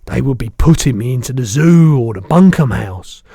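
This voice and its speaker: A man's voice: mid-range voice, animated, normal speed, creaky, mellow, slightly rough, dry recording, studio quality, voice pushed up. A man voicing a big friendly giant from a storybook, blustering, muddled and good-natured, speaking emphatically in garbled childlike grammar. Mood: earnest, animated.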